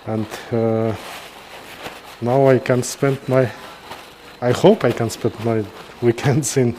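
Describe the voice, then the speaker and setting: A middle-aged man with a Kazakh accent gives informal live commentary as a surgeon, halting and pausing mid-sentence. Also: medium pitch, fairly even intonation, dark tone, a little hiss or hum, low-quality recording. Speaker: neurosurgeon presenting a live case.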